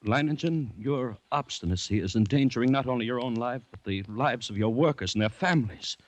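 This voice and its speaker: A man's voice: mid-range voice, expressive, close to an everyday voice. A man as an earnest, formal district commissioner. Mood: exasperated, pleading. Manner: urgent, measured, formal, dramatic. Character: earnest, alarmed male colonial district commissioner. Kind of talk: 1940s radio drama acting and narration. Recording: restored 1940s radio recording, music behind.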